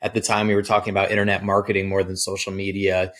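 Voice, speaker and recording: A man being interviewed as a guest, telling his story in a relaxed way with many ums and uhs. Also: sits low, quick pace. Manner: relaxed, filler-heavy, easygoing. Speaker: guest, social media agency founder. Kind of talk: casual interview chat.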